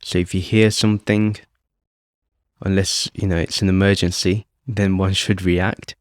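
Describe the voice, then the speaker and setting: A man calmly leading a guided meditation as host, speaking off the cuff in short phrases with long pauses. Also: low-pitched, clear, resonant tone, no room sound, clean studio sound. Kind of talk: guided meditation, unscripted instruction.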